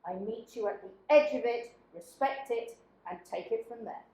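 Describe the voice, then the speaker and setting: A woman giving a deliberate, dramatic spoken-word reading, with emphatic phrase openings that fall away to quieter endings. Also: fairly high pitch, heavy background noise. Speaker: dramaturge reading a participant's written piece. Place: echoey indoor workshop room. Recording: echoey room.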